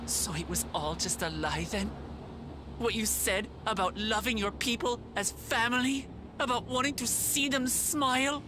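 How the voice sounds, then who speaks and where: An actress playing a brave, earnest young princess and protective sister in a fantasy video game, resolute and heartfelt. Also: female voice, fairly high pitch, unhurried, noisy background, lightly acted. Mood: hurt disbelief.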